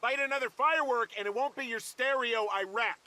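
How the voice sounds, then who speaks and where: A man's voice: very high-pitched, fairly quick, heavy background noise. An actor playing an easygoing, self-deprecating American man out in the wilderness, talking in a casual, hesitant, dry way. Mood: angry. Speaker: player character, fire lookout. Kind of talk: naturalistic game voice acting.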